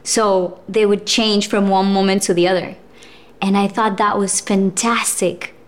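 Brief: young woman actress, reflective guest, unhurried and full of fillers. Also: medium pitch, fairly even intonation. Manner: thoughtful, with frequent fillers and laughs. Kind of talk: casual interview chat.

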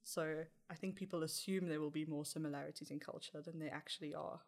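A woman's voice: low voice, steady tone, quick pace. A young woman with a South African accent answering interview questions in a chatty way, laughing lightly now and then. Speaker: interview guest.

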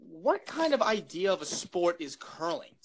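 A young man with a loud, projecting voice, rambling jokily through a casual chat as co-host. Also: high voice, big pitch swings, unhurried, poor audio. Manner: rambling, filler-heavy, jokey.

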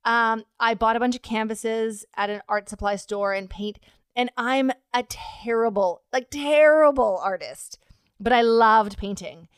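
Smooth-voiced woman podcast host. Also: on the high side, a little slow, no room sound, clean studio sound. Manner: reflective, earnest, with fillers. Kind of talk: casual conversation.

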